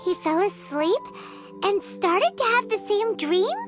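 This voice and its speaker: A woman voicing a small, childlike fairy companion in a video game, with a squeaky voice and an excitable, chatty manner. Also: takes it slowly, exaggerated voice. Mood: relieved, curious. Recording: loud music behind the voice.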